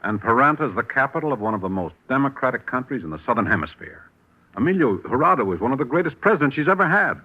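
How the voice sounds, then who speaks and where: An actor playing a brisk, worried intelligence bureau chief who speaks in an urgent, businesslike way, in a 1950s radio drama. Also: male voice, mid-range voice, very animated. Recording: dull old radio recording.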